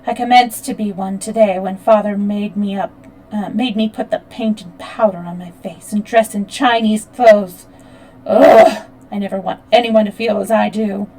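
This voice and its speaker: A woman reading the lines of an unhappy young bride, quick and emphatic. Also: mid-range voice, fairly even intonation, warm tone, lightly acted, low-quality recording. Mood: distressed, resentful. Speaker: audiobook reader. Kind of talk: read-aloud fiction dialogue.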